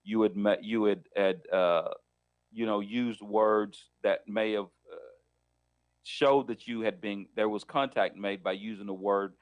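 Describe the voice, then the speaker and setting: Low-voiced attorney host, hesitant. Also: male voice, steady tone, a little slow. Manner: hesitant, many restarts and fillers, leading questions. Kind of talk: legal talk show interview.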